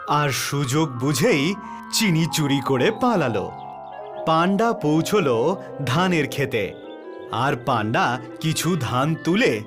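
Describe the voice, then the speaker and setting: A man reads a children's tale slowly in a fairly high voice. His storytelling is animated in pitch, with faint music underneath. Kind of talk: scripted children's story narration.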